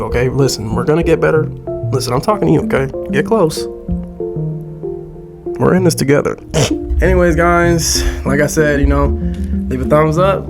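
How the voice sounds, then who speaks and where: A man casually addressing his viewers as host, playful and prone to repeating himself. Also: a little high. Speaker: host reading horror stories. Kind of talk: casual video sign-off to viewers. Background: plucked guitar music.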